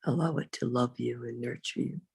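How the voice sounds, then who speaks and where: Low, very creaky woman guiding meditation. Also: steady tone, darkish tone, no room sound. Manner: unhurried, with long pauses. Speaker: reverend leading guided meditation. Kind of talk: slow, soothing guided meditation.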